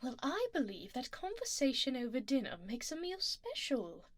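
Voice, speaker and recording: A woman's voice: high voice, huge pitch swings, moderate pace, a little hiss or hum. A woman playing a haughty, doting vampire duchess in an intimate ASMR roleplay, imperious and refined, often slipping toward a whisper. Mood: intrigued, teasing. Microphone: close binaural microphone.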